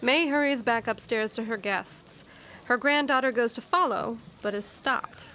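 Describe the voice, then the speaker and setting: A woman's voice: fairly high pitch, measured pace, bright, forceful tone, slight background noise, low-quality recording. A woman playing a chatty, nosy narrator in an audio drama, telling the scene playfully and conversationally. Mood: matter-of-fact. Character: chatty, cheeky, nosy storyteller commenting on the action.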